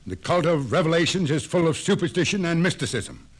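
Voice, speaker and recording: A proud, prickly scientist in an old radio play, cold and dismissive toward an unwelcome visitor. His voice sits a little high and clipped. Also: expressive, a little fast, breathy edge. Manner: curt, haughty. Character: stern older male astronomer, hostile and proud. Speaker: astronomer. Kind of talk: 1950s radio drama acting.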